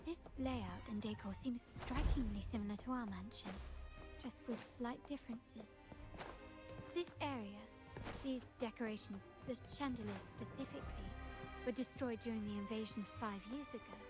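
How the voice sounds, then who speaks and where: A woman voicing a poised, thoughtful young noble heiress in a video game, musing aloud in a measured, refined way. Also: a little high, close to an everyday voice. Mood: investigating. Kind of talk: scripted video game voice acting. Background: game music.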